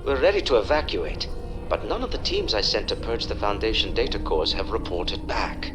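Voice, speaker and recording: Man as an animated, formal scientist. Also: lowish voice, normal speed, music in the background, natural voice. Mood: urgent. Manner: formal, pressing. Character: urgent, formal male scientist in charge. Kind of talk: video game dramatic acting.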